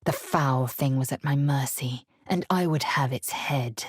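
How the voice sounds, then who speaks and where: An actress voicing a fierce, proud alien warrior woman in a fantasy video game, blunt and contemptuous, with husky, clipped, commanding, formal delivery. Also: rumbling low, a little slow, dry recording, clean studio sound, exaggerated voice. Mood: proud, resolute. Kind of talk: dramatic fantasy game voice lines.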